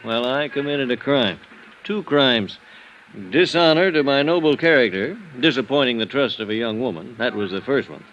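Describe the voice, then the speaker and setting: An American man acting a self-loathing, boozy architect in an old-time radio drama, confessing in a rambling, halting, self-mocking way. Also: medium pitch, fairly bright tone, muffled recording, close to an everyday voice. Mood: rueful, self-mocking. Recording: old radio recording, faint noise.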